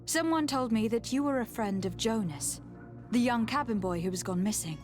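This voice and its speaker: Woman acting a higher-voiced, courteous noblewoman envoy. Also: lively intonation, normal speed, close to an everyday voice. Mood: inquiring. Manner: courteous, formal. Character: polite, composed young noblewoman envoy, inquisitive. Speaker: voice actor in a video game. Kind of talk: scripted fantasy game dialogue. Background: music.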